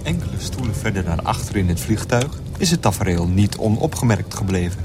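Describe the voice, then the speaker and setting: An actor playing the formal, expressive narrator of a radio play. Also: male voice, on the low side, fairly quick, very noisy. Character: composed male flight narrator, formal storyteller.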